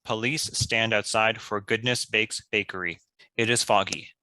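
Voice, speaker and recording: A man's voice: on the low side, steady tone, moderate pace, bright, forceful tone, no echo. A man reading screenplay stage directions as the narrator of a scripted table read.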